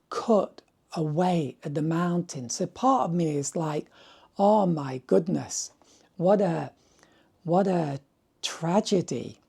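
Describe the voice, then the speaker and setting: A man with a dry, hoarse voice, musing aloud about his painting trip in an unhurried, thoughtful way. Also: on the high side, dark tone. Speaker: painter presenting his work. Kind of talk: casual video talk.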